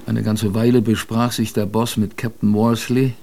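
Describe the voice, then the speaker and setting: Creaky, weary sailor narrator. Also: male voice, fairly low pitch, level tone, normal speed, warm tone, slight background noise. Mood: grave, reflective. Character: weary polar expedition sailor recounting his ordeal. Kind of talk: first-person radio drama narration.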